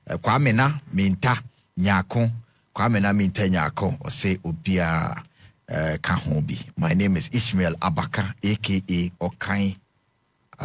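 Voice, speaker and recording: A man's voice: lowish voice, no room sound. A man with a Ghanaian accent hosting a radio show, reading listener text messages on air and mixing English with Twi. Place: radio studio.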